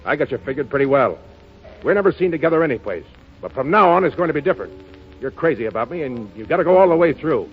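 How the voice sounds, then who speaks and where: An actor in 1930s radio drama style, playing a tough, slangy gambling boss in love, with a blunt, forceful manner. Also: male voice, on the high side, very animated, fast, soft background music. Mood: insistent. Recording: muffled vintage 1930s radio broadcast.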